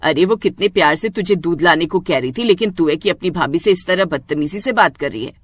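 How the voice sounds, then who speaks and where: A woman plays a stern middle-aged mother in a cartoon family tale. Her voice sits a little low and is recorded dry. She scolds in a reproachful, no-nonsense way. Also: expressive, a little fast, dry recording. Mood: scolding.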